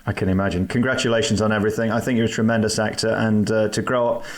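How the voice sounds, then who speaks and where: Male interviewer, slightly low voice, encouraging and complimentary. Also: racing. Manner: flattering, encouraging. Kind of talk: celebrity interview.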